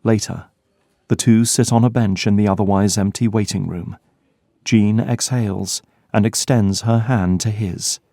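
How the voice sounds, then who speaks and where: A man's voice: on the low side, steady tone, a little slow, warm tone, no room sound, clean studio sound. A man narrating an audio drama from a script in the role of storyteller.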